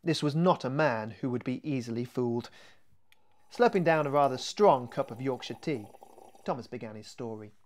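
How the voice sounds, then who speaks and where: A man reading his own children's book aloud as a scripted, expressive audiobook narration. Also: medium pitch, moderate pace. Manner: expressive storytelling. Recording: music behind the voice.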